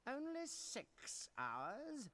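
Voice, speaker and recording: An actor playing a haughty, demanding nobleman in a game, measured and coldly imperious. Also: very high-pitched, wildly swooping pitch, sharp tone, heavily acted. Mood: cold, displeased. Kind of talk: game audio acting.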